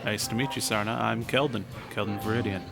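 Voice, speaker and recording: A man's voice: on the low side, steady tone, a little fast, creaky, over music. A man reading a video game hero's dialogue choices as an acted voice-over. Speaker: voice-over player of the game's hero.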